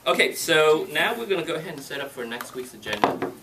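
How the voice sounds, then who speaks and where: A man's voice: fairly high pitch, big pitch swings, fast, a loud crowd. A man leading a club meeting, thanking volunteers and encouraging members in a friendly way. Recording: distant mic with loud noise.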